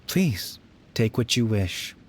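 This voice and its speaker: Devoto misterioso dalla parlata lenta. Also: male voice, fairly low pitch, very animated, exaggerated voice. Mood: serene. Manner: gentle, formal, measured. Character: courteous, humble, mysterious male devotee offering help. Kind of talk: video game dialogue.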